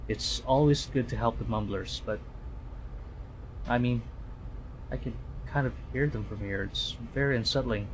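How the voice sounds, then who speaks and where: Halting male streamer reading game text aloud. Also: mid-range voice, low-quality recording. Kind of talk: game livestream, reading visual novel lines aloud. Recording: faint background noise.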